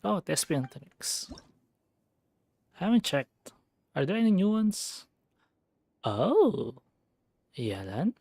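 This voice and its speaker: Higher-voiced man musing through a game stream. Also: big pitch swings, takes it slowly, gravelly, pauses often, darkish tone, no room sound. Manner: musing aloud to himself. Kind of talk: casual livestream gameplay chat.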